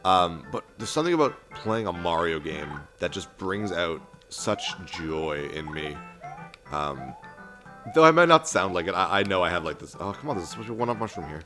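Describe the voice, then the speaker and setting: Low monotone young man over game music. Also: moderate pace. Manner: self-described monotone, rambling with filler and restarts. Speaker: let's play host. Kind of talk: casual gameplay commentary. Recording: game music playing behind voice.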